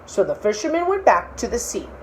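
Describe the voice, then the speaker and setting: Animated woman reading a children's story. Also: medium pitch, a little fast. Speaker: storybook reader. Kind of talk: expressive children's story narration.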